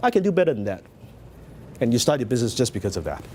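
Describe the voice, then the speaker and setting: A middle-aged man from Hong Kong with his accent still clear, addressing a live seminar crowd. His pitch rises and falls in a very animated way as he throws questions to the room. A faint hum of room noise sits under his voice. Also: fast. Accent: Hong Kong Cantonese. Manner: lively, interactive, asks the audience questions. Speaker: seminar speaker. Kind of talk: live seminar talk to an audience.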